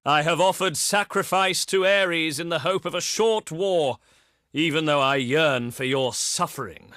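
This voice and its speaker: An actor playing a haughty, eloquent ancient Greek diplomat in a video game, speaking formally with dramatic pauses. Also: male voice, a little high, bright, forceful tone, no room sound, clean studio sound. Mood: defiant, warlike.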